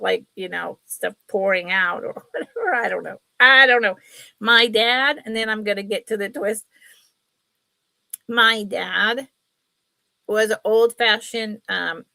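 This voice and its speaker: Sniffly, bright-voiced woman chatting slowly. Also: medium pitch, creaky, no echo. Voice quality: sniffly, congested nose. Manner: rambling, chatty, apologetic asides. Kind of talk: casual livestream chat.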